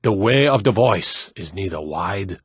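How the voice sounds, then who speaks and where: An actor playing a proud, hearty and solemn Nordic warrior in a fantasy video game, speaking grand archaic lines in a declamatory way. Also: male voice, sits low, animated, measured pace, breathy edge, no room sound, clean studio sound, heavily acted.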